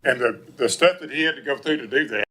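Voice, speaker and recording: A man's voice: mid-range voice, fast, a bit bright. A man giving an earnest public comment at a school board meeting, recorded from a distance.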